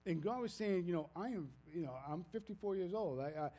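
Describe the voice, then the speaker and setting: A man in his fifties with a Maine accent preaches in a loose, storytelling way, circling back and restarting his sentences. His voice sits a little high yet sounds mellow and warm. He pokes fun at himself as he goes. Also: lively intonation, fairly quick. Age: 50s. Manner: rambling, folksy, self-deprecating, frequent restarts. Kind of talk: casual sermon storytelling.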